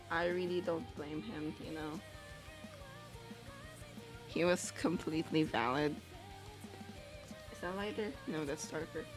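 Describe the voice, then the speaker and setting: A woman with a slightly low voice talks casually to her livestream chat. She rambles in loose, chatty phrases as she works on animation, with faint music playing behind her. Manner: rambling, chatty, reads chat questions aloud.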